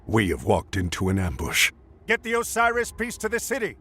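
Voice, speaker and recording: Earnest, animated military hero. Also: male voice, lowish voice, a little fast, exaggerated voice. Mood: urgent. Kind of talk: video game cutscene acting. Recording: noisy game capture.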